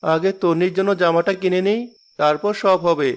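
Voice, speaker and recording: A man voicing a poor, kind-hearted father who works as a cart pusher in a cartoon, talking in a humble, soft-spoken way. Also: high-pitched, fairly even intonation, normal speed, smooth, natural voice.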